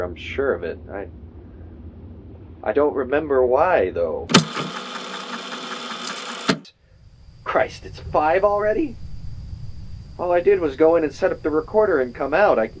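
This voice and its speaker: A man performs a frightened male podcaster alone in the wilderness for a found-tape audio drama. He narrates his surroundings in halting, paranoid fragments, in a mid-pitched voice over clearly audible background noise. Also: a little mellow, poor audio. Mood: confused. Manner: halting self-narration, swearing.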